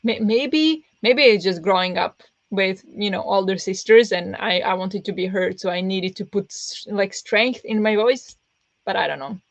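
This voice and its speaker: Chatty woman with a pressed, raspy-prone voice. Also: medium pitch, moderate pace, no room sound. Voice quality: pressed voice that turns raspy easily. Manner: rambling, with many fillers and restarts. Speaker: book streamer hosting reading sprints. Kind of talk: casual livestream chat with viewers. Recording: dull lo-fi dry sound.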